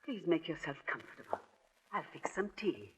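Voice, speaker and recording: A woman playing a genteel, sentimental middle-aged aunt in a 1940s radio drama, speaking formally with gentle hesitation. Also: low-pitched, very animated, normal speed, long reverb tail, slight background noise. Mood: flustered, welcoming. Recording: dull, muffled 1940s radio recording.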